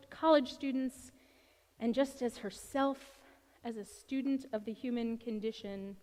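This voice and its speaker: Clergy woman doing a blessing, slow prayerful rhythm, kinda high voice, big echoey sanctuary. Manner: measured, prayerful cadence. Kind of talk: liturgical blessing. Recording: long hall reverb.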